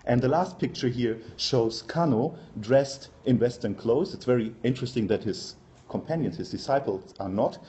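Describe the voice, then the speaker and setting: A man's voice: medium pitch, normal speed, warm tone. Hesitant German-accented male lecturer. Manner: hesitant, many ums and uhs. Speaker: university lecturer. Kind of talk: academic workshop presentation.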